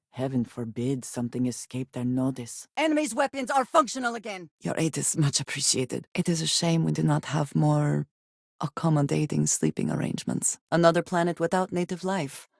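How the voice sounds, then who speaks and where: An actress playing a guarded, earnest woman space adventurer in a video game, speaking in measured, formal phrases with few contractions and a dry wit. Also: sits low, animated, vocal fry, no room sound, heavily acted. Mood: dry, sardonic, then urgent, firm, then composed, serious. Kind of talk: video game character acting.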